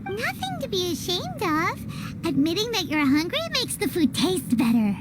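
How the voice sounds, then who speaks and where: A woman voicing a small, childlike fairy companion in a video game, chirpy, excitable and outspoken, with a squeaky voice. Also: normal speed. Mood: cheerful, encouraging. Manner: exclamatory, excitable. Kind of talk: animated game dubbing. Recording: clear loud noise behind the voice.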